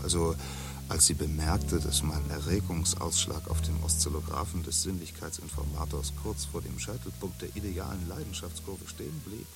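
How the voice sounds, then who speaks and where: A man's voice: low-pitched, normal speed, gravelly, over loud music. An actor playing an eager man who rambles on about a staged romance, gushing in long winding sentences. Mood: enthusing. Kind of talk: radio play acting.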